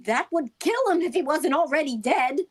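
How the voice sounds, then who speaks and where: A woman playing an insistent, high-voiced wife. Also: lively intonation, moderate pace. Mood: worried, insistent. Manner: colloquial, insistent. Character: stubborn, worried working-class wife, insistent and inquisitive. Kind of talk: vintage radio drama acting.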